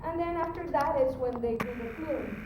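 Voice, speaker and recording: A woman giving an explanatory technical presentation as a conference speaker, pausing hesitantly mid-sentence. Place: conference room, recorded from a distance. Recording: very echoey, distant mic, rumbling background noise.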